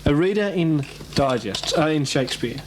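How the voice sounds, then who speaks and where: A man's voice: a little high, lively intonation, normal speed, noisy background. A man chatting casually as an amateur radio operator, rambling and hesitant, often repeating himself.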